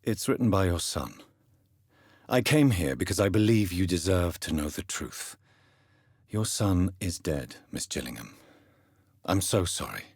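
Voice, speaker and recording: A grave British gentleman vampire doctor for a video game. His voice is very deep and markedly husky, with expressive rises and falls. He speaks in formal, measured period phrases, courteous and earnest. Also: no room sound, studio quality, exaggerated voice. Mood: sorrowful, grave. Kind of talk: dramatic game dialogue, formal period speech.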